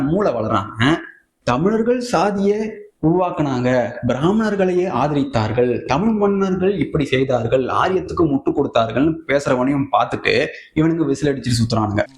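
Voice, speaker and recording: Tamil nadu guy doing scornful political commentary, slightly high voice, combative and colloquial, roomy echo. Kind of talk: opinionated political commentary to viewers.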